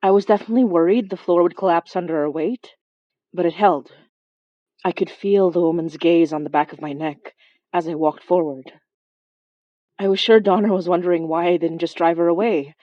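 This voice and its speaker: Woman acting as compassionate ghost investigator, lowish female voice, dry close sound, introspective, wry horror podcast narration. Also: normal speed, no room sound, lo-fi sound, lightly acted. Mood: uneasy, reflective. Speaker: narrator and lead character. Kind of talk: first-person horror audio drama narration.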